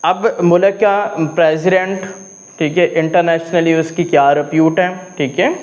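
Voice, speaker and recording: A man with a Pakistani accent and a high-pitched voice gives an explanatory lecture. He speaks slowly, spelling out formal clauses word by word. He then explains each one, often adding little tags to check that listeners follow. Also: fairly even intonation, rough recording. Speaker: law teacher. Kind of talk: classroom-style explanatory lecture mixing Urdu with English legal terms.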